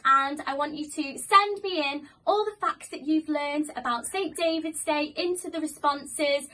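A Welsh woman in her twenties, a primary school teacher giving a lively, clear lesson for young children, filmed on a phone in a small office room. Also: high voice. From Wales. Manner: animated, slow and clear for children. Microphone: phone camera a few metres away.

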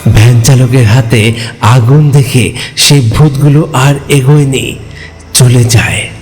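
A man's voice: mid-range voice, slow pace, darkish tone, soft background music, close to an everyday voice. A man playing a frightened narrator who recounts a ghost story in a dramatic, suspenseful way. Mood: narrating. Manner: suspenseful, with anxious repetition. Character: frightened male hotel customer recounting his ghost encounter. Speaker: first-person narrator.

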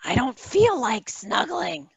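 A woman voicing a principled, long-suffering middle-aged housewife with a raspy voice in an amateur cartoon table read, on a headset. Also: sits high, sing-song, slow pace, dry recording, full character voice, low-quality recording. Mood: hurt, stubborn.

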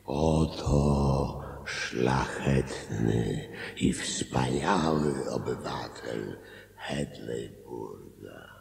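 A man's voice: extremely low pitch, drawn-out words, gravelly, heavily acted. An actor playing a dead townsman in a Polish radio drama, curt, sardonic and mocking. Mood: scornful. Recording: reverberant, noisy.

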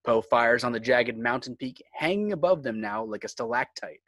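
A man's voice: medium pitch, expressive, normal speed, a bit bright, dry recording. Man narrating a screenplay, dry sound. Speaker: narrator reading stage directions.

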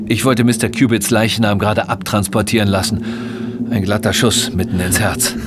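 An actor playing a blunt, self-satisfied police inspector in a German audio drama, talking in a dismissive, colloquial way. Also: male voice, fairly low pitch, steady tone, normal speed, noisy background, natural voice. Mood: matter-of-fact. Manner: matter-of-fact, dismissive, colloquial.

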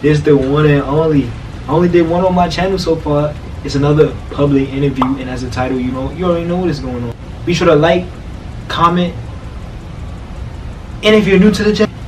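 A man's voice: fairly high pitch, brisk, darkish tone, recorded from a distance, slight background noise, poor audio. A young man hosting his own channel, opening with an upbeat intro and then asking strangers questions in a shopping mall. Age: young adult. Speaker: host, street interviewer. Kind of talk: casual vlog and street interviews. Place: bedroom for intro, indoor shopping mall for interviews. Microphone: handheld mic in mall.

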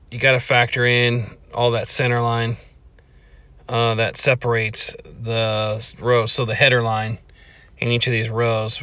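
A man's voice: medium pitch, bright, forceful tone, no room sound. A man walking an outdoor parking lot with a handheld phone, narrating a plain, matter-of-fact walkthrough and counting aloud with fillers. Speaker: paving estimator. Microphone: phone held in hand while walking.

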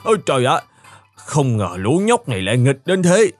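A man's voice: medium pitch, normal speed. A man playing an elderly, grumbly but kindly husband in a fairy-tale cartoon, speaking expressively. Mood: exasperated. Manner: expressive, exclamatory. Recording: music behind the voice.

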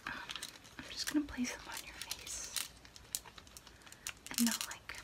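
A young woman playing a friendly water fairy in an ASMR roleplay, speaking casually in a breathy, close-miked whisper with small hesitations. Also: fairly high pitch, poor audio. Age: young adult. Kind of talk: ASMR roleplay, personal attention. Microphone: close mic.

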